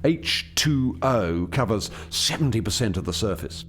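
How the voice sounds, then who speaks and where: A man's voice: on the low side, very animated, measured pace, fairly bright tone, faint music behind. An actor playing an eager, proud alien scientist who formally explains his experiment in a radio play. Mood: explanatory. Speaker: lead scientist presenting a project. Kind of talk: radio play dialogue, expository.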